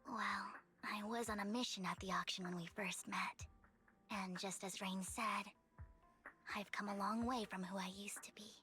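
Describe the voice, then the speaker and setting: A woman voicing a gentle, devoted young woman with a sorrowful past in a video game, speaking in a soft, breathy, delicate way. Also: mid-range voice, fairly even intonation, normal speed, natural voice. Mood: calm, wistful. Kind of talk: anime-style video game voice acting. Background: background music.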